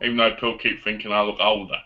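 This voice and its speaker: A man's voice: lowish voice, flat delivery, racing. A man in his twenties hosting a casual live online chat show. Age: 20s. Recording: dull lo-fi online call audio.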